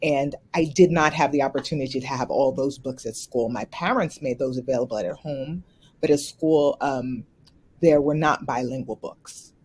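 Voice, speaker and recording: A woman teacher whose first language was not English, speaking in a measured way with frequent pauses on a video call from home. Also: extremely low pitch, a little mellow, husky. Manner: measured, many um fillers. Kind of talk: casual panel answer. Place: home room indoors. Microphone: webcam on video call.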